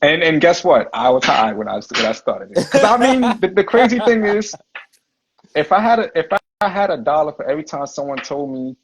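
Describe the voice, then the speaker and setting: A man's voice: on the high side, very animated, fairly quick, a little hiss or hum, low-quality recording. A man hosting a livestream podcast, telling stories in a laid-back, slangy way full of "like" and "you know". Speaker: podcast host. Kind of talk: casual livestream interview storytelling.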